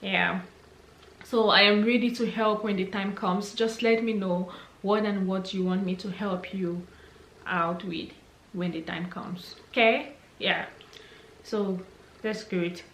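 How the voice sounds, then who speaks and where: A woman's voice: medium pitch, steady tone, slow, a bit bright. A young woman, chatting casually and encouragingly as she answers viewer questions, thinking aloud as she goes. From Cameroon, English-speaking region. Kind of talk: casual Q&A chat. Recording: echoey room with faint noise.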